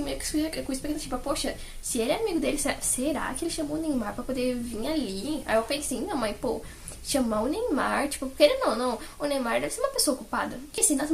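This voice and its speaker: High, fast-talking young Brazilian woman. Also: creaky, a little hiss or hum. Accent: Brazilian Portuguese. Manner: gushing, animated fan talk. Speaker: fan vlogger. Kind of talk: casual chat recounting favourite moments.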